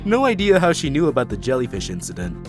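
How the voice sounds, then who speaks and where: A man's voice: mid-range voice, wildly swooping pitch, fast. A man voicing a spacey, laid-back male yak witness with a drawling, dreamy way of talking. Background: background music.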